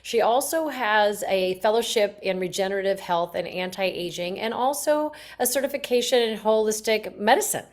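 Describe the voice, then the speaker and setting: A woman's voice: mid-range voice, animated, moderate pace, few pauses. Woman host on a video call, chatty and rambling. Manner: warm, rambling, many fillers. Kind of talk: casual introduction of a guest.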